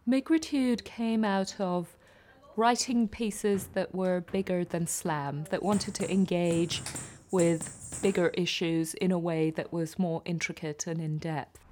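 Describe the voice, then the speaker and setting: A woman poet from Kenya talks in a measured, earnest way about her work. Her voice sits fairly low. There is clear background noise behind her. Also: fairly even intonation, darkish tone. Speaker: poet and performer. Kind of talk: reflective interview talk.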